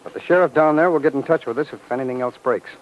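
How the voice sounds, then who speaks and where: An actor playing a businesslike investigator in a 1950s radio crime drama, reporting in a clipped, matter-of-fact way. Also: male voice, medium pitch, racing, highs cut off, over loud music, lightly acted. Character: brisk businesslike male federal investigator giving case reports. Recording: noisy old radio recording.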